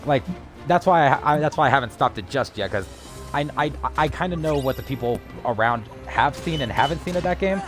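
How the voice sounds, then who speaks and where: A man's voice: medium pitch, lively intonation, a little fast. A man hosting a live game stream, chatting casually and giving his opinions with enthusiasm. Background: faint game music.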